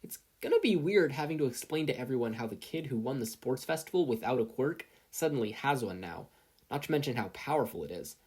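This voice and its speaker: A performer voicing an excitable, earnest teenage boy superhero student in an audiobook style, eager and fretful. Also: brisk, breathy edge. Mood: eager, flustered. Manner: eager, fretful, high-strung. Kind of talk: audiobook-style character acting.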